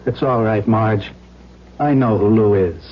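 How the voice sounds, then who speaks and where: An actor playing an anxious, upright middle-aged bank executive, speaking earnestly and properly in an old-time radio drama. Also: male voice, on the low side, a little slow, a little hiss or hum, lightly acted. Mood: guarded. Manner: earnest, reasoning, proper diction. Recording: dull lo-fi old radio recording.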